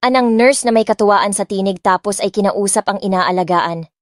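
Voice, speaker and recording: A woman's voice: medium pitch, brisk, no room sound. Filipino woman narrating, close and dry. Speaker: audiobook narrator. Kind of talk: dramatic first-person scripted narration. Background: soft music.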